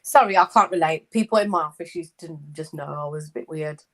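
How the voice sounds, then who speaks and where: A woman's voice: low voice, brisk, fairly bright tone. A woman on a live online panel, teasing and bantering casually. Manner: teasing, playful.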